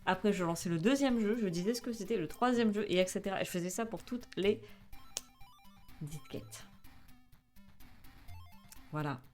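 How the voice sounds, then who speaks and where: A woman's voice: medium pitch, animated, moderate pace, pauses often, darkish tone, soft background music. A woman in her twenties chatting casually on a live stream, with many fillers, reading chat aloud and laughing often. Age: 20s.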